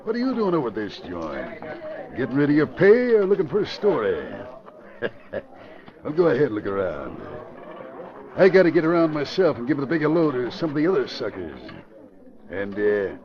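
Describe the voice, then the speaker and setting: A man's voice: medium pitch, sing-song, moderate pace, long pauses, lightly acted. A man playing a smug, mocking gambling boss who talks in swaggering slang in an old-time radio drama. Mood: mocking, breezy. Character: smug, jeering gambling boss, notorious casino owner. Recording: dull old radio recording, crowd behind.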